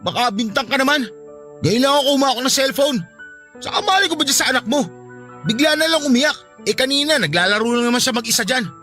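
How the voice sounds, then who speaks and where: A petulant young Filipino husband in a radio drama. The voice is very high and bright, rattling along quickly. He argues back with whiny sarcasm and lazy defensiveness. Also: expressive, soft background music. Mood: defensive.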